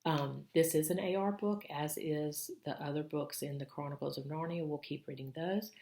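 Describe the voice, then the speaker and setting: A woman's voice: low-pitched, fairly even intonation, normal speed, warm tone. A woman reading a storybook aloud for schoolchildren and chatting casually to them as the reader. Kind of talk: storybook narration and casual commentary to children.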